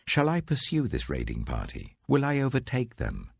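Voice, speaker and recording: Man acting an insistent warrior leader, animated intonation. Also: mid-range voice, normal speed, creaky, husky, no echo, pitched above the performer's own voice, full character voice. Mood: urgent, questioning. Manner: questioning, insistent. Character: proud young warrior leader, earnest and insistent.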